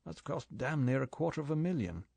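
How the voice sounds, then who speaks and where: Man as a brisk english agent, matter-of-fact, mid pitch, dry. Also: expressive, gravelly, darkish tone, no echo. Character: confident English male secret agent, brisk.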